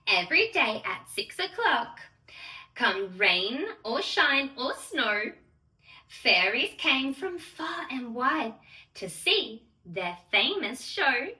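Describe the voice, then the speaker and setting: Woman as a high, sing-song storytelling fairy. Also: sing-song, slow, bright, forceful tone, full character voice. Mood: storytelling wonder. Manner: gushing, sing-song, talking directly to little children. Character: sweet, excitable young female storytelling fairy who loves bubbles. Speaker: children's storyteller and channel host. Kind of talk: children's bedtime story read-aloud. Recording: roomy echo, distant microphone.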